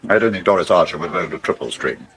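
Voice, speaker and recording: A man's voice: low-pitched, expressive, rapid-fire, a bit bright. A man playing an urbane, wry, worldly middle-aged rogue in a radio soap, with an educated English accent and suave, dry irony. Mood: dryly amused. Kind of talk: naturalistic radio soap acting.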